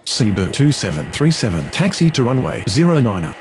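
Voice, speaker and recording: A man's voice: mid-range voice, big pitch swings, a little fast, a little mellow, slight background noise. Swooping man as airport radio operator. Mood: businesslike. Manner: formal, procedural. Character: procedural male airline pilot and controller radio voice. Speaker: air traffic control radio voice. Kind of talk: scripted aviation radio phraseology.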